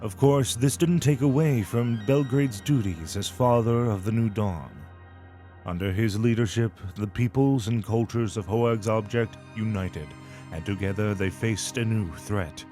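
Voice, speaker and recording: A man's voice: fairly low pitch, unhurried, dark tone, soft background music. A man narrating a scripted story epilogue.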